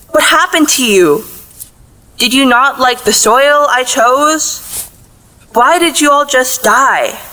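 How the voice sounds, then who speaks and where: Woman playing a slow, bright-voiced anxious mother. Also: high-pitched, exaggerated voice. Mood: coaxing, possessive. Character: anxious, possessive plant-tending mother of a teenager. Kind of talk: contemporary audio play acting.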